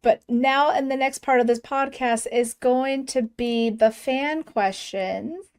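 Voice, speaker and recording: A woman's voice: high-pitched, slow pace, smooth. A woman hosting a podcast, chatting with her guest and reading out listener questions in a friendly way. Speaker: podcast host. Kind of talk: casual interview chat.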